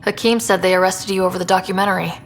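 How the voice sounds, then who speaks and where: A woman playing a composed, supportive young space explorer in a video game, speaking in an earnest, measured way. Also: medium pitch, steady tone, lightly acted. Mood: earnest concern. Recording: reverberant, faint background noise.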